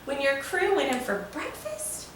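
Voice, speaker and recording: A woman's voice: sits high, wildly swooping pitch, a little slow, a bit bright, full character voice. A woman voicing a curious, admiring male listener who asks eager questions in a children's storybook. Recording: echoey room, distant mic, faint noise.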